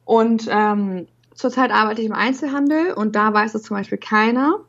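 A woman's voice: on the high side, normal speed. A young woman who stutters, talking as a guest on a podcast with repeated syllables and frequent fillers. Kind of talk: casual interview talk. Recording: dull lo-fi sound.

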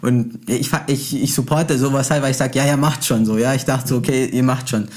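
A man's voice: medium pitch, fairly even intonation, fairly quick, darkish tone. A young man with a Brazilian background chats casually, telling anecdotes in a slangy, boastful, unfiltered way. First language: Portuguese. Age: young adult.

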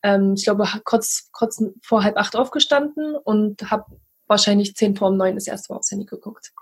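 A woman in her thirties from Saxony-Anhalt, Germany, calmly recounting her life as a guest in a casual conversation. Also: mid-range voice, husky. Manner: reflective, measured storytelling.

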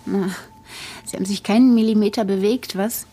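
A woman's voice: mid-range voice, fairly even intonation, moderate pace, mellow, a little hiss or hum, lightly acted. An actress playing a pragmatic, tired young mother in a naturalistic audio drama, matter-of-fact and questioning with her friends. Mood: probing, patient.

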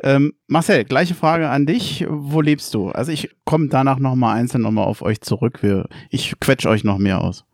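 A man's voice: medium pitch, moderate pace, few pauses, a little mellow, dry recording, clean studio sound. A man hosting a podcast and chatting casually with guests on a call, asking them friendly questions. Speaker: podcast host.

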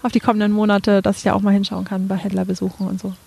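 A woman's voice: mid-range voice, completely flat delivery, rapid-fire, gravelly, darkish tone, breathy edge. A young woman with a Franconian accent, a podcast guest chatting casually with many fillers and frequent laughs. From Franconia, Germany. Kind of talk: casual interview chat.

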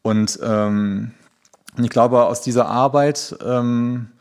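A man's voice: medium pitch, fairly even intonation, slow, a little mellow. A man hosting a podcast interview, introducing guests with many hesitant fillers. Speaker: podcast host.

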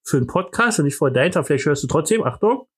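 A man's voice: on the high side, sing-song, fast talker, background noise. A man with a Berlin accent hosting a podcast, joking around in boastful, mock-pompous banter full of fillers and restarts. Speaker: podcast host.

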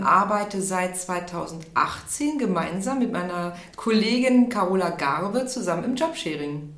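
Woman in her 40s from berlin, chatty interview guest, roomy echo on the voice. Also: mid-range voice. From Berlin, Germany. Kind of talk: casual conversational interview. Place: indoor room, in-person interview. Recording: echoey room.

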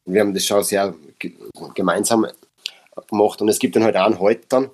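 A man's voice: on the low side, steady tone, a little fast, darkish tone, breathy edge. A man from Upper Austria with an Austrian accent, an actor talking casually and thoughtfully about a reading he gave, often correcting himself. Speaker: actor and guest reader. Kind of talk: casual podcast conversation.

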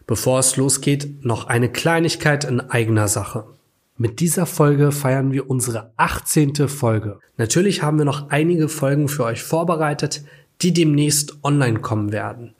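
Upbeat male podcast host. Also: medium pitch. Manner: upbeat, brief affirmations. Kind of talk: podcast interview.

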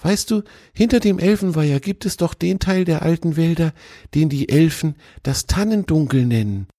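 A man voicing an eager, cheerful young male deer, talking high and excited, in a dry, close recording. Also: normal speed, dry recording.

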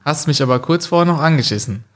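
Relaxed young man, hesitant. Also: on the high side. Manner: relaxed, many "äh" hesitations, quick-witted. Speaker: podcast guest, employee. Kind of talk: casual podcast chat.

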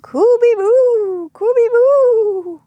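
A woman voicing a small, harmless storybook ghost that repeats one drawn-out, hooting spooky call. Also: dark tone, dry recording, dull audio, heavily acted. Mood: eerie wailing. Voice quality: drawn-out, high, hooting moan.

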